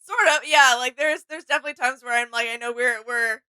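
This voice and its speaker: A female co-host with a smooth, clear voice that sits slightly high, recorded dry and close. She speaks casually and informally, agreeing easily and thinking aloud as she comments. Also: quick pace, pushed and bright, no room sound. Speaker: co-host of a reaction video channel. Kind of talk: casual chatty reaction commentary.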